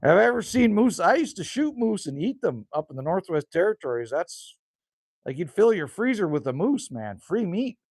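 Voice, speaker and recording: Folksy guy in his 50s rambling to his chat outside the cabin, voice bouncing up and down, kinda high. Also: sing-song, moderate pace, darkish tone, no echo, studio quality. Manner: folksy, rambling, chatty with viewers. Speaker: livestream host reading chat. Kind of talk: casual livestream chat. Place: outdoors by a rural cabin.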